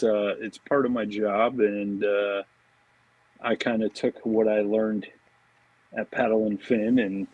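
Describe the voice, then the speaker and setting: A man with a lowish, husky voice chats as a guest on a podcast. He is easygoing and informal and takes his time, speaking very slowly. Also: darkish tone, no room sound, rough recording. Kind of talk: casual chat.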